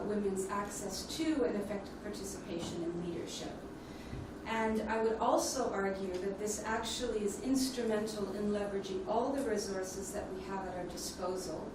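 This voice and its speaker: A woman's voice: medium pitch, normal speed. Echoey distant woman giving a conference presentation. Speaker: conference speaker, leadership development specialist. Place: indoor conference plenary room. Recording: echoey hall, distant mic, noisy ambience.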